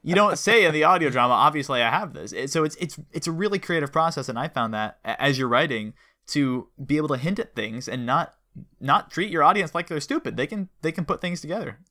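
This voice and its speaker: A man's voice: on the high side, expressive, brisk, bright, forceful tone. A man talking casually in an interview as an audio drama producer.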